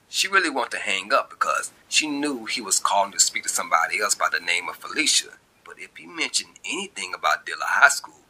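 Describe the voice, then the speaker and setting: A man's voice: deep voice, pushed and bright, slightly rough, poor audio. A man narrating his own short story as an amateur reader.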